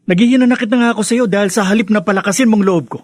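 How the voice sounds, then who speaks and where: A man's voice: sits high, racing, no room sound. An actor playing an aggrieved, burdened man defending himself dramatically in a Filipino radio drama. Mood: hurt, defensive.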